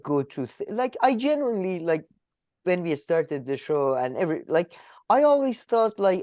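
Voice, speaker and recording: A woman co-hosting a comedy podcast, whose first language is Persian, talking in a casual, animated way, swinging between loud and soft and often restarting her sentences. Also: lowish voice, dry recording, dull audio. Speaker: podcast co-host.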